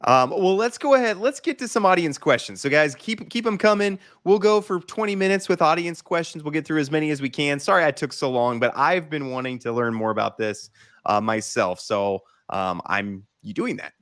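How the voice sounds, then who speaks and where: A man's voice: a little high, fairly quick, fairly bright tone. Upbeat male livestream host. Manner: upbeat, encouraging, reads audience questions. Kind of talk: livestream interview.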